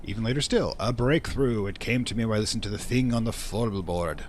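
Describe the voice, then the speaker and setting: A man reads as a despairing, obsessed male scientist in a video game journal. The voice is fairly low, grave and halting, with faint noise in the recording. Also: lightly acted. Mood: obsessive triumph. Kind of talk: dramatic reading of game journal.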